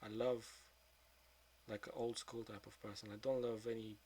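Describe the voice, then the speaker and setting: A husky-voiced man of twenty-two talks to camera in a flat, nearly monotone way. He is blunt and swears often, and his sentences keep sinking into quiet mutters. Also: fairly low pitch, normal speed. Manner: subdued, trailing off into quieter mutters; frank, with frequent swearing. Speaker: vlogger. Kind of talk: confessional monologue.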